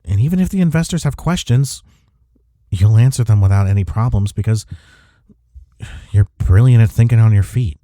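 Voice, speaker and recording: A man acting in an intimate audio roleplay as a gruff but caring office rival, speaking in a husky, soft, reassuring way. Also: lowish voice, normal speed, long pauses, no echo, studio quality, close to an everyday voice. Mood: insistent reassurance.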